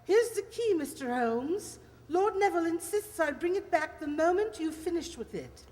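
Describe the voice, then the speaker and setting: A woman's voice: very high voice, sing-song, normal speed, darkish tone, a little hiss or hum. Radio mystery dowager type played by a woman: clipped, imperious, impatient, swooping intonation, roomy reverb. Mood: impatient, curious. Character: blunt, impatient, nosy aristocratic older lady. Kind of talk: radio play acting. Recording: echoey hall reverb.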